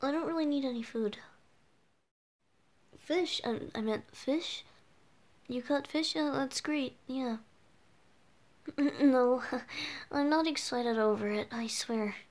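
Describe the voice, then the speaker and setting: A woman voicing a flustered, bashful boyish bard with cat ears in an ASMR roleplay, halting and stammering as the character talks to the listener. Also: high voice. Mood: resigned, shy. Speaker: audio roleplay performer.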